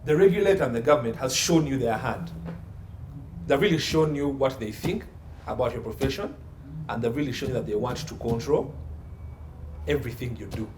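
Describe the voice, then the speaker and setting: Male legal pundit, forceful rhetorical delivery in interview, recorded in a reverberant room with slight background noise. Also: medium pitch, animated, fairly quick, pauses often. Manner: emphatic, assertive, repeats phrases and asks rhetorical questions. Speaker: guest expert, entertainment law consultant. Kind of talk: opinionated interview commentary. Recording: roomy echo, faint background noise.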